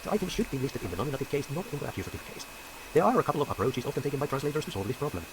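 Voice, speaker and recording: A man's voice: mid-range voice, big pitch swings, racing, background noise. A man with a Finnish accent, a programmer narrating a scripted technical tutorial in a careful, explanatory way, sometimes catching on a word. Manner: careful, explanatory, stumbles on words. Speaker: programmer presenting a video.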